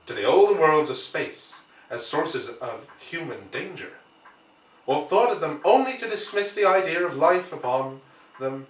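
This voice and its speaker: A man speaks as a storyteller in a fairly high male voice that swings widely in pitch. His phrasing is theatrical and deliberate. The sound is roomy and echoing, as if he is some way from the microphone. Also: a bit bright. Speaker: storyteller and host. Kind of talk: dramatic audiobook reading and chatty introduction. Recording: roomy echo, distant from microphone.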